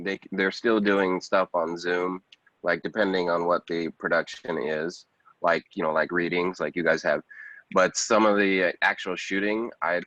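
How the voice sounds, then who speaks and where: A man chatting casually as a podcast guest, halting and repeating words, on slightly dull audio. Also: sits low, a bit bright, dry recording. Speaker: podcast guest, actor and writer. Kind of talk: casual chat.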